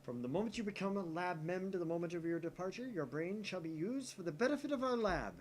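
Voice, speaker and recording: A man's voice: sits high, lively intonation, fast talker, a little hiss or hum, pitched above the performer's own voice. A man voicing an arrogant, bossy young male mad scientist from a visual novel, with pompous, dramatic declarations. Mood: grandiose. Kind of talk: visual novel character reading.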